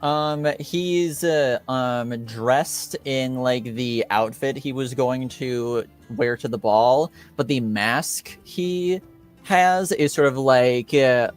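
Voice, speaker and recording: A man with a mid-pitched voice narrating a tabletop game very slowly. He improvises as game master, halting often to find his words, with faint music behind him.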